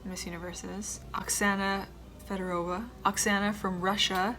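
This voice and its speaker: A woman in her twenties chatting casually to camera as a vlogger, with quiet muttered asides and a slightly stuffed-up nose. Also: mid-range voice, flat delivery, normal speed. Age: 20s. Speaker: vlogger, former pageant contestant.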